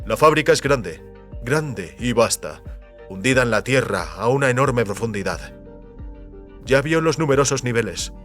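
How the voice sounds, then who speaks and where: Guy playing a solemn company boss in an audio drama, idealistic, grave speechmaking, medium pitch, music underneath. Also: expressive, normal speed, a bit bright, natural voice. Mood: fervent, resolute. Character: solemn, idealistic male head of secret family company.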